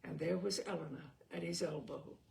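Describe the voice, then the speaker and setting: A woman's voice: lowish voice, normal speed, gravelly, darkish tone. A woman reading a novel aloud as an audiobook narrator, telling the story expressively with the occasional small stumble. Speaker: audiobook reader.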